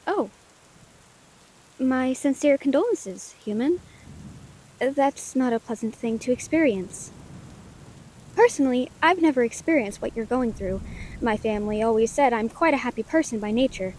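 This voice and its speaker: High, lilting female lightning spirit, acted by a woman. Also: expressive, normal speed, long pauses, a little mellow, slight background noise, low-quality recording. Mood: sympathetic. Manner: formal, quaint wording, earnest and thoughtful. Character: friendly, curious, caring female lightning elemental spirit. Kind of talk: scripted audio roleplay, gentle comforting monologue. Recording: rain behind the voice.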